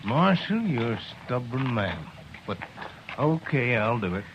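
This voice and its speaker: A stammering loyal frontier helper. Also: male voice, medium pitch, sing-song, unhurried. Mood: eager. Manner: hesitant and stammering. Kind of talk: 1950s radio drama acting. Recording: dull vintage broadcast with hiss.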